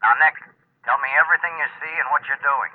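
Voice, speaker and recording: An actor playing a brisk, businesslike salvage skipper in an old American radio drama, clipped and commanding with an American accent. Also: male voice, fairly high pitch, natural voice. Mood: intent, urgent. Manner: clipped, commanding, nautical turns of phrase. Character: brisk, businesslike male salvage skipper chasing sunken gold. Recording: muffled, narrow-band old radio recording.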